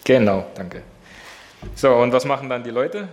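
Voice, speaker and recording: A man's voice: medium pitch, animated, normal speed. A man with a slight cold who opens in prayer and then leads a Bible study, asking his group questions. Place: church hall. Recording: long hall reverb.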